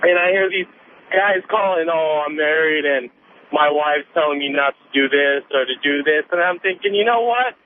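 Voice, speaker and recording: A young American man of nineteen rambles casually as a radio caller, cocky and emphatic. His fairly high voice comes through a thin phone line with light noise. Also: normal speed. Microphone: telephone.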